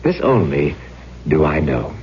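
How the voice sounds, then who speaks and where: Halting pleading ghost, theatrical radio drama, dull sound, faint noise. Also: male voice, a bass voice, big pitch swings, exaggerated voice. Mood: solemn persuasion. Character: intense pleading ghost of a man who took his life. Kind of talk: 1970s radio drama acting. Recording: dull, noisy old radio recording.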